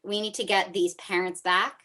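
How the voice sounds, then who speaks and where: Casting: woman candidate on a video call, earnest, mid-pitched. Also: level tone, moderate pace, sharp tone. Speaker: school council candidate. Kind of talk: candidate forum answer over video call.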